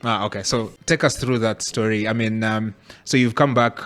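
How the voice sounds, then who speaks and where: Low-voiced male podcast host, music faint behind. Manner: relaxed, frequent filler pauses. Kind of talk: casual interview.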